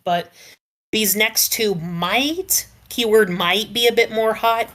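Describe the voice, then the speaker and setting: A woman speaking as a podcast panelist, giving opinions casually in a measured, qualifying way with frequent "like". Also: fairly high pitch, a bit bright.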